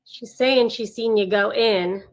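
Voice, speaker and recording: A woman's voice: fairly high pitch, a little slow. A woman playing a brash, volatile young psychiatric patient in a horror script table read. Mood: taunting, cocky. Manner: taunting and cocky, breaking into screaming panic. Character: Sasha, brash, mean, unhinged young female psychiatric patient.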